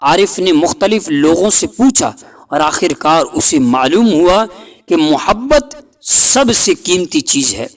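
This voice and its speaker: A man narrating a scripted folk tale in a deliberate, dramatic storytelling manner. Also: fairly high pitch, big pitch swings.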